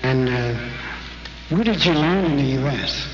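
A man's voice: medium pitch, lively intonation, measured pace. A man interviewing a guest on stage with friendly, prompting questions. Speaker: interviewer at a convention. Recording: dull, hissy recording in an echoey hall.

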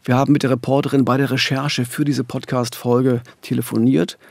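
A man's voice: medium pitch, little pitch movement, fairly quick, gravelly, a little mellow, no echo. Middle-aged man, dry studio sound. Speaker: podcast co-host. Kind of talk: true-crime podcast narration. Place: small radio studio. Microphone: studio microphone, headphones.

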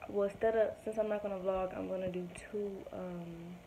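A woman's voice: mid-range voice, fairly even intonation, normal speed, a little hiss or hum, low-quality recording. Young woman, losing her voice, rambling vlog chat; phone at arm's length in a small room at home. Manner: rambling, soft-spoken, many ums. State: losing her voice, sore throat. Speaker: vlogger. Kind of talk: casual chat to camera. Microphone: phone or webcam at arm's length.

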